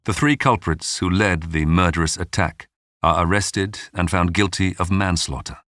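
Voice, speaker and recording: Deep-voiced male documentary narrator. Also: normal speed, fairly bright tone, dry recording, clean studio sound. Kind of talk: scripted true-crime history narration.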